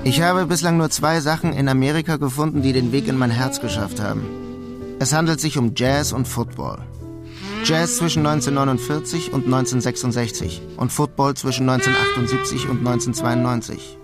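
Music plays behind a disillusioned painter in a radio crime play. He speaks at a medium pitch, wry and guarded, matter-of-fact in his delivery. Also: big pitch swings, fairly quick. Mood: reflective, wry. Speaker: actor, narrator. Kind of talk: German radio crime drama, first-person narration and dialogue.

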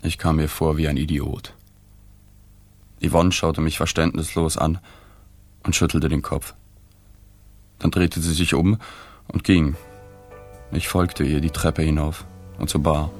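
A man's voice: rumbling low, little pitch movement, measured pace, pauses often, exaggerated voice. An actor playing a subdued, awkward male journalist who narrates a radio play in an understated, detached way. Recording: music behind the voice.

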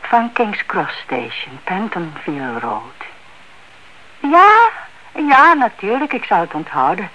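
An actress playing a curious, suspicious elderly aunt in a radio play, hesitant but persistent, on a noisy old recording. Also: female voice, medium pitch, very animated, slow pace, sharp tone, exaggerated voice. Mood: polite, puzzled. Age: older.

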